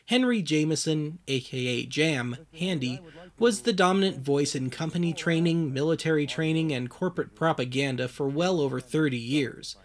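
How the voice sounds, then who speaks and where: A man's voice: on the high side, normal speed. A man hosting and narrating a scripted documentary series with a dry, wry manner.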